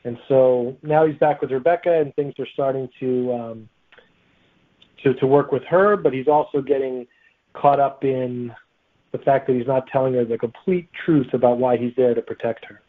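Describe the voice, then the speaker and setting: A man talks casually as a guest author in an interview. His voice is medium in pitch and sounds narrow and tinny, as if coming down a phone line. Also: steady tone, normal speed.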